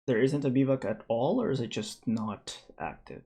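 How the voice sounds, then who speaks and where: A man's voice: medium pitch, lively intonation, normal speed, a crowd in the background. A man hosting a podcast, introducing a guest and asking questions with hesitant fillers. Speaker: podcast host. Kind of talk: casual interview.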